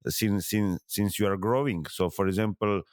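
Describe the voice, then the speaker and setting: A man from Serbia with a Serbian accent, a guest on a podcast interview, who talks haltingly with many fillers and restarts. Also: fairly low pitch, steady tone, no room sound, studio quality.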